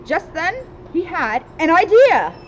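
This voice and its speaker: A woman's voice: very high-pitched, sing-song, slow pace. A woman reading a children's storybook aloud with casual asides, recorded outdoors. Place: outdoors in a town street. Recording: dull outdoor recording with loud street noise.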